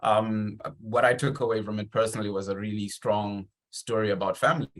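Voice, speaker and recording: A man from Zimbabwe hosting a conversational interview, effusive and a little halting as he builds his questions. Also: on the low side, steady tone.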